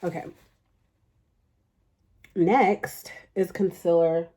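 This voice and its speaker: Young woman beauty vlogger, deep and mellow, loose rambling delivery. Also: fairly even intonation, very slow. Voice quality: fairly deep speaking voice. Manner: rambling, chatty, heavy use of "like" fillers. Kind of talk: casual makeup tutorial chat.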